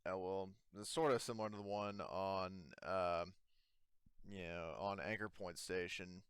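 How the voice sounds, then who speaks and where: Low, clean-voiced man improvising game narration. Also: steady tone, smooth, dry recording, studio quality. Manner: halting, meandering, casual. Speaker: game master.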